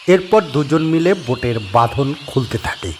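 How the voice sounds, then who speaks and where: A man narrating a horror audio story with expressive, suspenseful storytelling. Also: on the high side, moderate pace, slight background noise. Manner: expressive storytelling with rising and falling tension.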